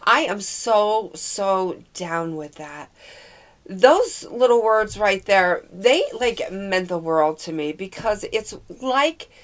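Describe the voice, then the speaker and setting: A woman's voice: mid-range voice, expressive, slow pace. Sick woman, stuffy nasal voice, rambly book chat, takes her time. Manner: rambling, chatty, full of fillers. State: sick with a cold, nasal and congested. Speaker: book vlogger. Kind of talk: casual chat about books.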